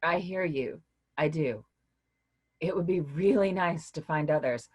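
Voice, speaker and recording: A woman playing a weary but caring literature professor in a staged reading, speaking in a measured, hesitant, reassuring way with a husky voice. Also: low voice. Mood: cautious, measured.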